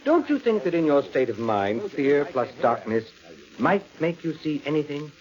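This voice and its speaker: An actor playing a rational doctor who questions in a measured, analytical way in a vintage radio drama. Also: male voice, fairly high pitch, sing-song, a little mellow. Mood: calm, probing. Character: rational, probing doctor calming a frightened patient. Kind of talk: 1940s radio suspense acting. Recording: dull old radio recording.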